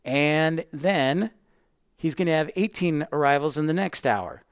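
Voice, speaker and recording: A man's voice: on the high side, a little slow, smooth, no echo, clean studio sound. A man hosting a livestream, casually explaining online tools step by step and chatting directly with viewers in an informal way. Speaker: livestream host teaching plane-spotting tools.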